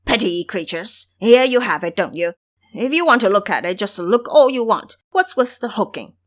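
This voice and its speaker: Casting: female performer as a male pig monster monk, foolish and full of bluster, lively swings in pitch, audio drama fantasy. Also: moderate pace, lightly acted. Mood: indignant. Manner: boastful, pleading when scared.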